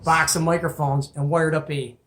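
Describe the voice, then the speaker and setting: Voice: mid-range voice, level tone, normal speed, a bit bright. A host doing a casual hands-on show and tell, enthusiastic and colloquial, with folksy exclamations. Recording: echoey room with faint noise.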